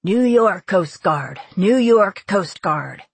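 Commanding, impatient male sea captain played by a female narrator, loud clipped radio-call delivery, slightly husky, close dry sound. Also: medium pitch, lively intonation, slow, no room sound, exaggerated voice.

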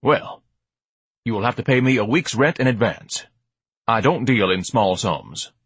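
A man's voice: medium pitch, lively intonation, measured pace, a bit bright, slightly rough, no echo, full character voice. A man voicing a pompous, indignant, gluttonous educated man with grandiose, haughty diction in an audiobook. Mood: smug. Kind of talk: audiobook character acting.

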